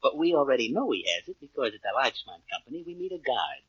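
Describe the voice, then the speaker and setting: A cold, deliberate gangster chief with a rough, husky voice. He threatens with stiff courtesy, in the manner of a 1940s radio crime play. The recording is dull and lo-fi. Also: mid-range voice, sing-song, no room sound. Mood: businesslike. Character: cold, menacing male gang boss, mock-courteous and deliberate.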